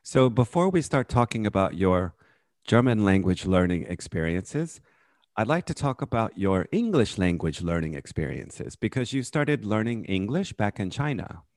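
A man's voice: fairly low pitch, big pitch swings, normal speed, a little mellow, dry recording, clean studio sound. A man hosting a podcast interview, asking his student friendly, encouraging questions. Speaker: podcast host, English teacher.

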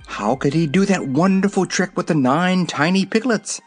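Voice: mid-range voice, moderate pace, soft background music, pitched above the performer's own voice, close to an everyday voice. A narrator voicing a thoughtful, curious young boy in an audiobook, earnest and questioning. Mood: puzzled. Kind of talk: audiobook character voice.

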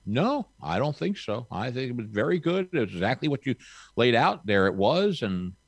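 A man's voice: mid-range voice, big pitch swings, normal speed, breathy edge, no echo. A man in his sixties, a podcast guest chatting casually, with a rambling, folksy, upbeat manner. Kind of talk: casual chat.

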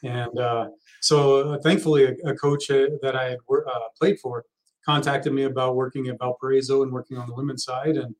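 A middle-aged man from Texas, a college soccer coach, telling his story as an interview guest in a relaxed way that trails off quietly. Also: mid-range voice, brisk, low-quality recording.

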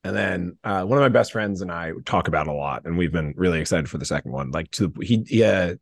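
A man chatting casually as a podcast guest in a rambling, animated, slangy way. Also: sits low, fast talker, vocal fry, no echo. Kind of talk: casual chat.

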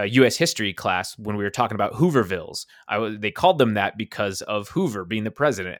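A man's voice: on the low side, a bit bright, no room sound, clean studio sound. A man in casual podcast chat, rambling and thinking aloud with a self-deprecating tone.

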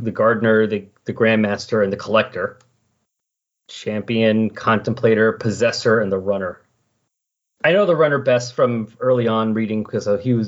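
A man with a slightly husky voice in casual, rambling, enthusiastic conversation as a podcast host. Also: on the low side. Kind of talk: casual chat about comic books. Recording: dull, lo-fi recording.

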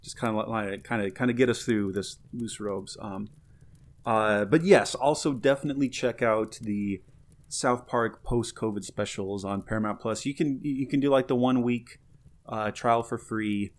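A man's voice: lowish voice, normal speed. A man co-hosting a podcast in casual chat, upbeat and rambling, full of filler words. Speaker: podcast co-host.

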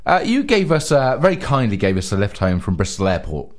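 Male podcast co-host, giggly rambler, very lively pitch. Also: brisk. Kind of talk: casual comedic chat.

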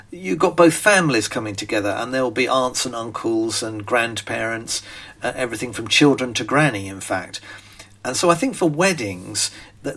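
A man's voice: mid-range voice, fairly bright tone. An older British man with a British accent hosting a podcast, talking in a rambling, chatty way full of ums and restarts. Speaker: podcast host, magician. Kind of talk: casual spoken monologue.

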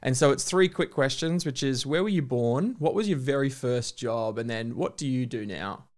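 A man's voice: medium pitch, moderate pace. A man hosting a podcast, giving effusive, admiring introductions and interviewing a guest by phone. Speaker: podcast host.

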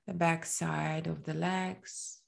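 A woman with a fairly low voice guides a body scan meditation. She speaks very slowly and gently, leaving long pauses between short phrases. The delivery is soothing and unhurried throughout. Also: little pitch movement, gravelly.

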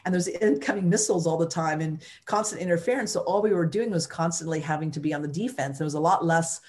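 A woman's voice: sits low, fast talker, a little mellow. A woman, a former mayor, telling her story in an interview with emphatic energy and many restarts.

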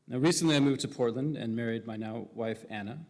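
A man from Hawaii tells his faith journey to a congregation in an earnest, measured way, pausing on small fillers. His mid-pitched voice rings out with the long reverb of a large church hall. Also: darkish tone. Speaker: new church member candidate. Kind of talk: personal faith testimony. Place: church sanctuary.

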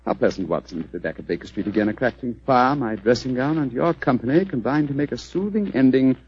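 An actor playing a shrewd, urbane British gentleman detective in 1940s radio drama style, with precise theatrical phrasing. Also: medium pitch, expressive, quick pace, slight background noise, natural voice. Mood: weary, genial. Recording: dull lo-fi vintage radio recording.

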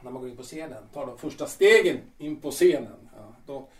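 A man's voice: medium pitch, lively intonation, darkish tone, far from the mic, natural voice. An actor playing a vain, boastful male stage star who rambles with many hesitations, in an audio drama. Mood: rambling. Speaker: star singer-actor Peter. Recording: echoey room, voice often off-mic.

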